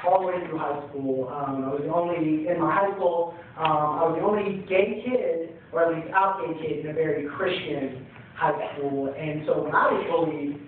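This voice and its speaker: A young man speaking on a panel with a handheld mic, telling his own story in an earnest, halting way with many fillers. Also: fairly high pitch, steady tone, a little hiss or hum. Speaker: youth center panelist. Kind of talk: personal testimony on a panel. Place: screening room panel, large hall. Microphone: handheld mic, recorded from far in the audience. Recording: echoey hall, far off, tinny.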